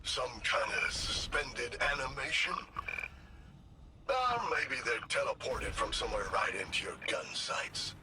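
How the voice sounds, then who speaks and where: A man's voice: medium pitch, big pitch swings, a little slow. A man playing a sardonic, mocking onlooker in a video game, needling and insinuating as he talks. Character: sardonic, needling male onlooker goading the player. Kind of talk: dramatic video game voice acting. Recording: loud noise behind the voice.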